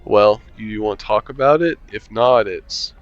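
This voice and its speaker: A man's voice: fairly low pitch, unhurried, muffled recording, soft background music. Dry, sarcastic man reading a game aloud. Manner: dry, sarcastic asides, swears casually. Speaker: game streamer. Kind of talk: reading a visual novel aloud with commentary.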